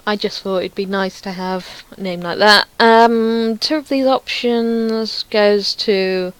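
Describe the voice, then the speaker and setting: Slow-paced woman casually demoing her project. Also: mid-range voice, smooth, no room sound, low-quality recording. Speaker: visual novel creator showing own project. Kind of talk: casual screen-recorded demo commentary.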